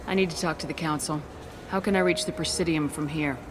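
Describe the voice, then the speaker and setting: An actress playing a composed, assertive human military commander in a video game, speaking firmly and directly. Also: female voice, deep voice, steady tone, quick pace, natural voice. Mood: businesslike. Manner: firm, direct.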